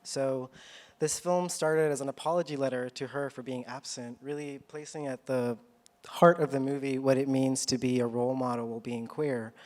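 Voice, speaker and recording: A man from Chile, a filmmaker, giving an earnest and personal live pitch for his film. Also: fairly even intonation, normal speed, darkish tone.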